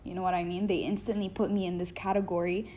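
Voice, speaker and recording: A woman's voice: lowish voice, little pitch movement, quick pace, warm tone, slight background noise. A young woman from Egypt, interviewed, talking casually and hesitantly with many fillers.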